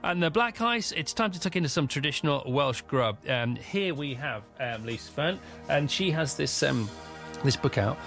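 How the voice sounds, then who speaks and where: A man's voice: a little high, animated, moderate pace, few pauses, a bit bright, faint music behind. A man hosting a breakfast radio show from a studio, chatty and jokey as he tastes food with a guest. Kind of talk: lively radio chat and food tasting. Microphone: studio microphone.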